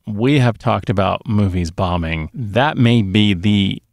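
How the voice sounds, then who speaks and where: A man's voice: lowish voice, slow pace, creaky, no room sound. A middle-aged man co-hosting a movie podcast, giving casual opinions close to a studio microphone. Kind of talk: casual opinionated film chat. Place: small dark studio booth. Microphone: close podcast mic on boom arm.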